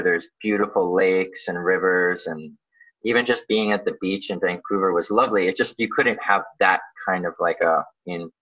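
A man from Canada, born in Ukraine, answering interview questions casually, informally and haltingly as he thinks aloud. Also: sits low, pushed and bright. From born in Ukraine, grew up in Canada. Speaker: guest, underwater photographer and dive professional. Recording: slightly dull, lo-fi sound.

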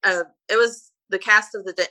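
Bright-voiced Brazilian woman telling stories. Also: medium pitch, fairly even intonation, fairly quick, rough recording. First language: Portuguese. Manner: hesitant, many fillers and restarts. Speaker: guest, private flight attendant. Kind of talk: casual interview storytelling.

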